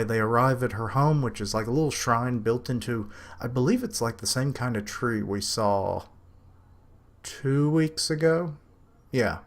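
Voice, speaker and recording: Man, low voice, casual review, rambling, self-correcting, raises his pitch for quotes. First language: English.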